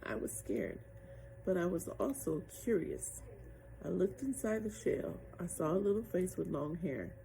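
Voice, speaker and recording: Gravelly, mellow woman reading a picture book. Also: on the low side, sing-song, measured pace, poor audio. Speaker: read-aloud storyteller. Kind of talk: expressive children's picture book narration. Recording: noticeable background noise.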